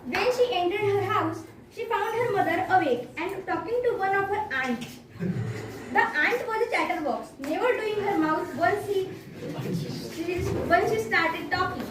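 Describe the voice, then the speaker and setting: A woman's voice: extremely high pitch, unhurried. A young woman with an Indian accent reading scripted story narration. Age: young adult. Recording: very echoey noisy room, distant mic.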